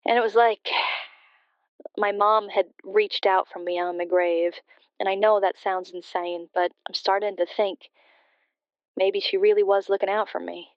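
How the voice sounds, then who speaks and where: Actress as a wistful bereaved daughter, evenly pitched. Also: female voice, steady tone, clean tone, no echo, lo-fi sound, natural voice. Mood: wistful, wondering. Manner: hesitant, halting, reflective. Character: bereaved daughter, wistful and sincere, confiding a ghostly story. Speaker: caller to a radio show. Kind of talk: scripted audio drama, emotional personal storytelling.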